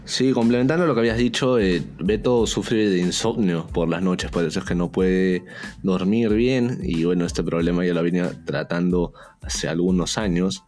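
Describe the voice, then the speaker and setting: A man from Peru co-hosts a football talk show in a fairly low voice. He adds points in a thoughtful, hesitant way, often searching for the word and tossing questions to his partner. Faint music sits under his voice. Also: moderate pace, a little mellow. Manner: thoughtful, hesitant, asks questions, many fillers. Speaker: sports show co-host. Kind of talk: casual sports talk commentary.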